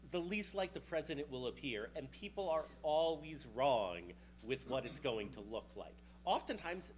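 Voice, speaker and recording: A man's voice: a little high, a little hiss or hum. A man with a New York accent gives a casual conference talk about vehicle technology, chatty and joking now and then. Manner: casual and chatty, with a joking aside here and there. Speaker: researcher presenting at a conference.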